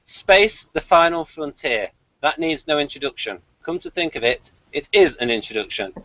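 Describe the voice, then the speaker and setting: Lively higher-pitched man, dull close recording. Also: normal speed, dry recording. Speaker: podcast host reading out his episode review. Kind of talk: casual review, reading notes aloud with asides.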